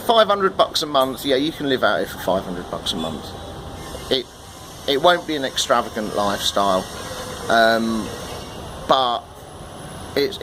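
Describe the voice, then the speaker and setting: A man's voice: medium pitch, noisy background. A man in a casual vlog who chats to the camera from an outdoor balcony, often repeating and restarting his words. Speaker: vlogger.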